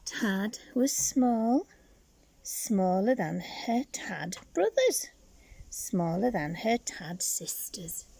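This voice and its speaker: Slow, mellow woman reading to young children. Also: mid-range voice, big pitch swings, vocal fry, husky. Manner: dramatic pauses and asides to child listeners. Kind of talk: expressive picture-book read-aloud for young children.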